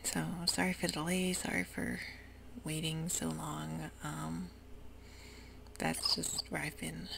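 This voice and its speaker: A young woman whispering casual ASMR commentary while she plays a video game, talking in a soft, rambling way. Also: sits low, flat delivery, slow. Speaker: ASMR gaming channel host. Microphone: desk mic while gaming. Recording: rough home recording with audible hiss.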